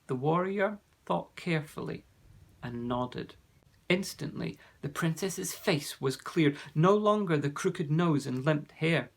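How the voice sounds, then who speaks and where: A man reading a children's fantasy storybook aloud, with clear, expressive storytelling. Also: on the high side, slow. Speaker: narrator.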